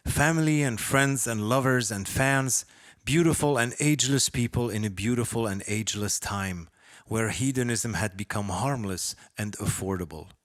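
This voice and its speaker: A man from Lebanon reading his own short story as a first-person narrator, with expressive, dramatic delivery. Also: mid-range voice, no echo.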